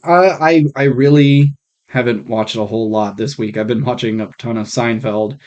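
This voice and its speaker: A man co-hosting a podcast in casual conversation, recorded on a rough, faulty microphone. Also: mid-range voice, steady tone, moderate pace, a little mellow. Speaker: podcast co-host discussing films. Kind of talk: casual chat. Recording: dull, rough malfunctioning podcast mic.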